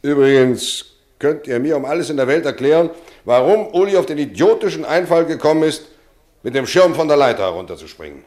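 An actor playing a stern but kindly boarding-school teacher in a radio drama, emphatic and thoughtful. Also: male voice, a little high, expressive, brisk, darkish tone, close to an everyday voice. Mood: exasperated.

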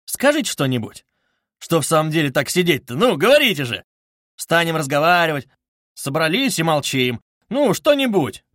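A man's voice: on the high side, very animated, normal speed, fairly bright tone, dry recording, studio quality, voice pushed up. A man playing an irritable, brooding, feverish young man with an abrupt, agitated, insistent way of talking. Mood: exasperated, urgent.

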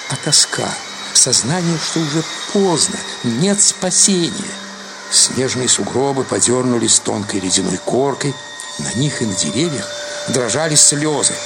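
A man's voice: mid-range voice, noisy background. A man giving a dramatic literary reading as the narrator of a story, with music behind him. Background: loud music.

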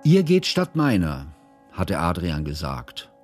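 A man acting in a radio drama as a grave, reflective narrator recalling a war in formal, measured literary language. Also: low voice, wildly swooping pitch, darkish tone, soft background music, exaggerated voice. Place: studio.

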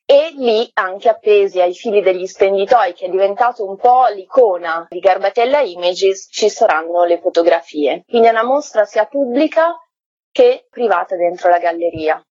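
On dry, somewhat lo-fi audio, a woman speaks at a medium pitch. She is an exhibition curator being interviewed on the radio and gives explanatory, conversational answers. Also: normal speed, darkish tone, no room sound. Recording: dry, dull lo-fi call.

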